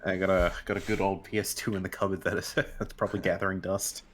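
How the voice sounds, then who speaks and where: A man's voice: sits low, flat delivery, quick pace, fairly bright tone, slight background noise. A man chatting as a guest on an online show, rambling and correcting himself as he tells stories. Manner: rambling, self-correcting storyteller. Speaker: interview guest. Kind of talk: casual chat.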